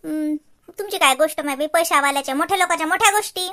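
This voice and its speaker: A woman plays a cartoon daughter-in-law with a very high, girlish voice and a crisp, bright tone. She is chatty and slyly mocking, repeating phrases for effect in a rural Varhadi Marathi accent from Vidarbha. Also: racing. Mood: sarcastic, mocking. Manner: mocking, repetitive, gossipy. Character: sarcastic, chatty young village daughter-in-law, busy housewife. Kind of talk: exaggerated cartoon comedy acting.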